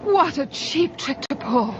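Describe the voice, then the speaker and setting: Scheming wife, high-voiced, vintage radio drama. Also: female voice, lively intonation, measured pace. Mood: defensive. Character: outwardly devoted, scheming wife, sweetly indignant and defensive. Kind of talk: old-time radio drama acting. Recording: muffled noisy old radio recording.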